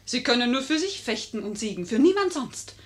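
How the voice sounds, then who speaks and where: An actress playing a cunning, proud, flattering lady in a theatrical 1950s German radio play style, fervent and coaxing. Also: on the high side, a little fast. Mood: confiding, admiring.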